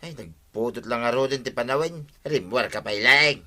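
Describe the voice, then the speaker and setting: An actor playing a grumbling, henpecked village husband in an Ilocano radio comedy, with an Ilocano accent. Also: male voice, medium pitch, normal speed, pushed and bright, natural voice.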